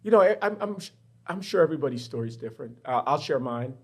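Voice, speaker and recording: A man's voice: mid-range voice, lively intonation, quick pace, a little mellow, slightly rough. A middle-aged man from the Bahamas, a professor and guest in an interview, telling his story in a reflective, personal way. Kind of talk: candid interview conversation. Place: university studio.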